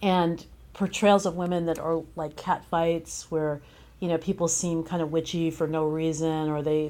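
A woman with a slightly low-pitched voice talks as a guest in an interview. The delivery is reflective and earnest, building ideas out into long, considered thoughts. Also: darkish tone. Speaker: guest playwright discussing their work; teacher.

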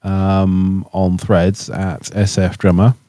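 A man's voice: low-pitched, completely flat delivery, slow, dark tone, no room sound, clean studio sound. A man co-hosting a podcast, chatting casually in a rambling, joking way. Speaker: podcast co-host, musician. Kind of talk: casual chat.

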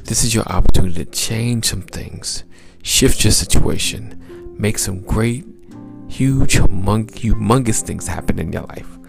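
A man hosting a motivational podcast as a mindset coach, with a smooth, gravelly low voice and upbeat, repetitive exhortations. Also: measured pace, music in the background.

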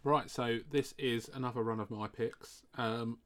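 A man's voice: medium pitch, fairly even intonation, a little slow, fairly bright tone. A man hosting a podcast mock draft, chatty and a bit sniffly from hay fever. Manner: chatty, apologetic asides. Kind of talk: casual chat.

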